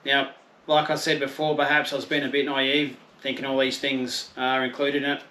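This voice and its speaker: A man's voice: mid-range voice, little pitch movement, moderate pace, pushed and bright. A man in his thirties with an Australian accent, chatting casually and self-deprecatingly as he unboxes and reviews camping gear outdoors. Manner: laid-back, chatty, self-deprecating, swears mildly. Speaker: camping vlogger. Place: outdoor patio, then a garage.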